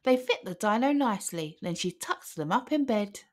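A woman narrating a children's picture book aloud with an expressive, singsong delivery. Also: mid-range voice, normal speed.

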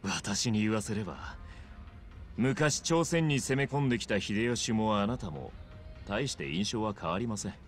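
An actor playing a smug, suave young male gangster boss in a video game cutscene, with smooth, polite, theatrical speech. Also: fairly low pitch, lively intonation, a little slow, faint music behind. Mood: polite, calculating. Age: young adult.

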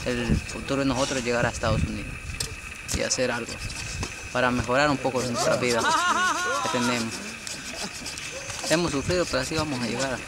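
A man speaks wearily and earnestly, as a migrant who has been walking for days. He is recorded outdoors. Loud noise fills the background. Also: medium pitch. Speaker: migrant on foot.

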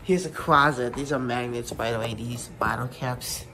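A man giving a casual, halting tour of a rental house, filming on a handheld phone as he walks indoors. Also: medium pitch, fairly even intonation. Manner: rambling, halting, many fillers and restarts. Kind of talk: casual handheld walkthrough narration. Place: indoors in a rental house kitchen and living room. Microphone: phone camera held while walking. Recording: loud handling noise and clicks.

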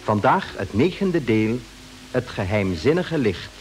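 A man announcing a radio play with formal, deliberate diction in a scripted 1950s broadcast style. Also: mid-range voice, very animated, faint music behind.

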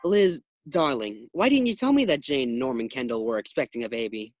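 A man's voice: mid-range voice, lively intonation, brisk, over music, natural voice. A man playing a flustered, boastful, naive husband who stammers when rattled, in an old-time radio sitcom style. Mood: puzzled.

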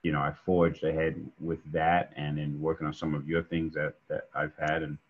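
A middle-aged man, chatting relaxed as a guest on a video call, with many fillers. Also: very deep voice, normal speed, breathy edge. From New York. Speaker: guest musician. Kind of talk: casual interview over video call. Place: home room indoors. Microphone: video call webcam. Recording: dull lo-fi call audio.

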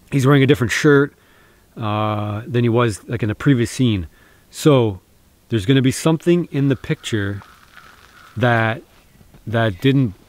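A man's voice: mid-range voice, darkish tone, no echo. A man in his thirties vlogging outdoors in a snowy forest, talking casually and encouragingly to camera with lots of fillers and restarts. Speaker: bushcraft vlogger.

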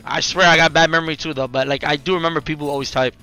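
A young man streaming a game, excitably calling out short, repeated instructions to his teammates. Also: a little high, rapid-fire, clean tone. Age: young adult. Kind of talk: live gaming commentary and team callouts. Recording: music behind the voice.

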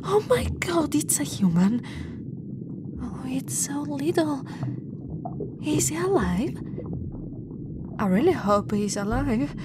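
A woman from Italy voicing a cute, kindly, anxious giant mermaid in an ASMR roleplay, flustered and softly cooing. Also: high voice, big pitch swings, slow pace, pauses often, very noisy, heavily acted. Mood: startled, panicked.

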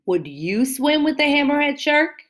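A woman's voice: sits high, big pitch swings, normal speed, a bit bright. A woman teacher reading a picture book aloud to young children, explaining words and asking them questions in a sing-song way.

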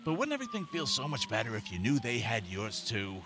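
A slippery, cajoling cop played by a man with a mid-pitched, highly animated voice. He is chummy and overfamiliar, audio drama style, over clearly audible street noise. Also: a little fast.

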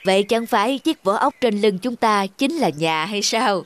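A woman voicing a wise, kindly older uncle snail in a cartoon, patiently and gently guiding. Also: mid-range voice, lively intonation, moderate pace, lightly acted. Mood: wise, explaining. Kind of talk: cartoon dubbing. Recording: music behind the voice.

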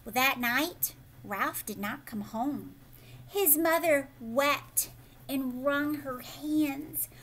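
An American woman in her thirties reads a picture book aloud to children expressively, pausing to ask the listener questions. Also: high-pitched. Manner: animated, prompting listeners with questions. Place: living room at home, indoors.

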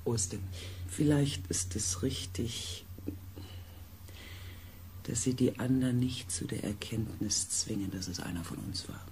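An actor playing an anxious researcher in a science fiction radio drama, speaking in an uneasy, hesitant way. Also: male voice, medium pitch, unhurried, vocal fry, pauses often, darkish tone, slight background noise. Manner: hesitant, uneasy, searching for words. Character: anxious male researcher on a space expedition.

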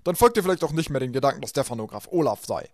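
A man's voice: medium pitch, animated, no room sound, clean studio sound, pitched above the performer's own voice, lightly acted. A man voicing a fussy, dismissive adult male banker who keeps coughing, in a homemade audiobook. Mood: brusque. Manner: loud, brisk, talks over the children. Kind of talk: audiobook character voice.

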